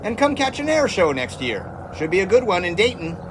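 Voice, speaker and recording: A man's voice: sits high, very animated, brisk, background noise. A man playing a breezy, confident, chummy 1920s test pilot in an audio drama. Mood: jaunty. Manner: jaunty, chummy.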